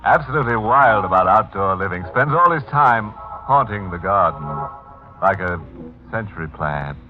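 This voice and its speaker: A man's voice: fairly low pitch, expressive, normal speed. A man hosting and narrating a 1940s radio mystery in a wry, macabre, theatrical way. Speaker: host and narrator. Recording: dull 1940s radio recording, music behind.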